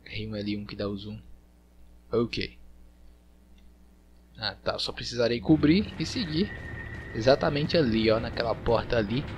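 A man's voice: medium pitch, a little slow, long pauses. A man with a Brazilian accent giving casual video game commentary as he plays. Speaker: gaming YouTuber. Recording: noisy, game sound behind voice.

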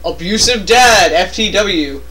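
A man's voice: sits high, lively intonation, measured pace, sharp tone, a little hiss or hum. A man giving casual, joking game commentary in an exasperated, sarcastic, complaining way. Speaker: let's play co-commentator.

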